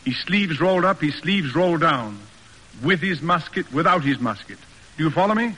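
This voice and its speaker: A stern, demanding American father of middle age for an old radio play. His voice is bright, forceful and a little high, with pompous, declamatory emphasis. It sounds like a vintage radio broadcast. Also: expressive, normal speed, slightly rough, in a large hall, heavily acted, low-quality recording. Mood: commanding, emphatic. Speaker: actor narrating and playing the father. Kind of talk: classic American radio drama acting.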